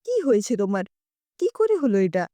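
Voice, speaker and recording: A woman's voice: mid-range voice, big pitch swings, moderate pace, mellow, dry recording, voice pushed down, natural voice. A woman voicing an anxious, tender wife who questions her husband insistently in a dramatised audio story. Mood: worried.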